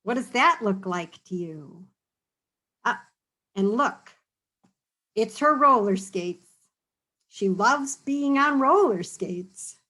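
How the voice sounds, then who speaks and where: A woman's voice: a little high, animated, slow, pauses often, pushed and bright. A woman reading a picture book aloud to children, stopping to ask questions and explain in a teacherly, encouraging way. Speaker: read-aloud storyteller for children.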